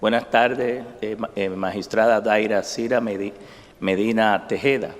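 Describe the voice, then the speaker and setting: Guy lawmaker, low voice, stumbles over formal titles, echoey hall. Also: fairly even intonation. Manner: halting, ceremonious. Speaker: legislator questioning a candidate. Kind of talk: formal hearing questions. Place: large hearing chamber. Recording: long reverb in a hall.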